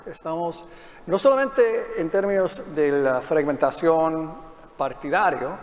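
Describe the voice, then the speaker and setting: A man's voice: on the high side, a little mellow. A man whose first language is English, moderating a political panel in Spanish with an English accent, hesitant, with many fillers and restarts. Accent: English speaker's Spanish. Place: conference hall. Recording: long reverb of a conference hall.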